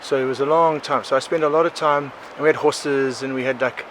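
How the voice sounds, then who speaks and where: A South African man in his forties, a podcast guest, telling his life story in a rambling, animated way, often laughing. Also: medium pitch, a little fast. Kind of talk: casual conversational storytelling. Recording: outdoor recording with clear background noise.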